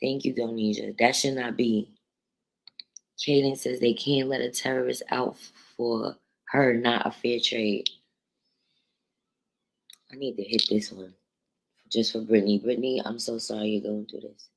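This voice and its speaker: A woman from New York with a deep voice, hosting a casual livestream, reading viewer comments and talking bluntly with plenty of profanity. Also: fairly even intonation, unhurried, vocal fry, long pauses, poor audio. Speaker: livestream host.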